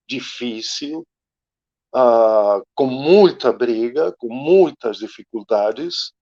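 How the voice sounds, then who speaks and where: Argentinian guy talking slowly over a muffled call, lots of ums, thinking out loud. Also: mid-range voice. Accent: Argentine Spanish. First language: Spanish. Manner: slow, searching, many hesitations. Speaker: invited guest speaker. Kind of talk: reflective academic talk.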